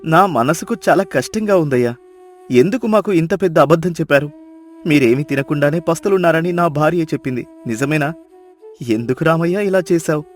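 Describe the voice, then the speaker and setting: A man's voice: fairly high pitch, a little fast, lightly acted. A man playing a well-off, emotional village brother-in-law in a dubbed moral-story cartoon, with earnest, emphatic delivery. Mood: pained, insistent. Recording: music behind the voice.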